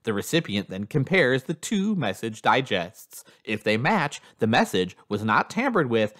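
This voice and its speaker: A man's voice: medium pitch, very animated, unhurried, fairly bright tone. A man reading a scripted instructional narration in an explanatory manner as a lesson narrator.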